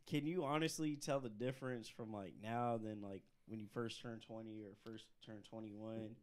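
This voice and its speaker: A man in his twenties on a casual podcast who puts laid-back questions to his friends and lets his sentences trail off softly. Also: lowish voice, steady tone, moderate pace. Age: 20s. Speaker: podcast co-host. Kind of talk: casual chat among friends.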